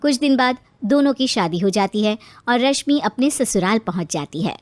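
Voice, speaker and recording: A woman's voice: on the high side, lively intonation, normal speed, no room sound. A woman narrator reading a scripted moral family story.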